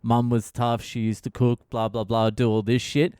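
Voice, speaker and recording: A man voicing a very old farmer father who gives slow, flat, unbothered answers. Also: medium pitch, smooth, no room sound, clean studio sound, natural voice. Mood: dismissive.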